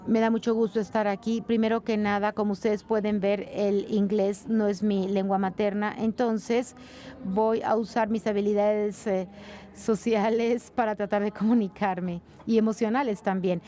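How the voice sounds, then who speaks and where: A woman giving live spoken interpretation of a conference panel, brisk, with frequent fillers. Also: mid-range voice, level tone, dark tone. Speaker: simultaneous interpreter.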